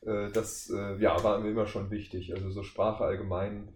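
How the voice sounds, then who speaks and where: A man's voice: lowish voice, flat delivery, moderate pace, a little mellow. A man speaking as a podcast guest, explaining things casually and at length in a discursive, circling way. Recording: echoey room, faint background noise.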